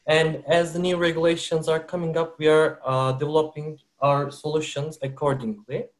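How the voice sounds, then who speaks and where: Male webinar host, fairly high voice, measured and hesitant delivery. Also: flat delivery. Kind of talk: corporate webinar presentation. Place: works for a company based in Turkey.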